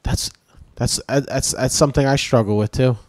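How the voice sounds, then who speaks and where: A man's voice: medium pitch, fairly even intonation, moderate pace, no room sound. A comedian in his forties talking in an earnest, hesitant way, full of fillers. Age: 40s. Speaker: comedian and podcast co-host. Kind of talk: casual chat.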